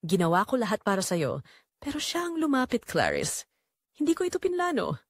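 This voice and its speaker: A woman plays a guilty middle-aged mother in an audio drama. She pleads with emotion, her pitch rising and falling widely. The sound is dry, without room echo. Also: huge pitch swings, fairly quick, heavily acted. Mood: pleading.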